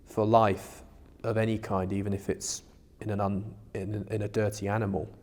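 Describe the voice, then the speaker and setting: A man's voice: on the low side, flat delivery, normal speed, a little mellow. A man co-hosting a podcast, musing aloud in a casual discussion about religion, recorded with long reverb. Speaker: podcast co-host.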